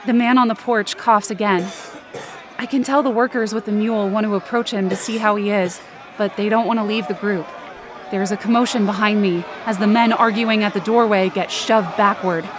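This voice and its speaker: A woman's voice: mid-range voice, fairly even intonation, normal speed, close to an everyday voice. A woman playing an anxious, desperate woman who narrates her own ordeal urgently in an audio drama. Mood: uneasy, watchful. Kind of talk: first-person present-tense audio drama narration. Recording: noisy outdoor crowd sound effects behind.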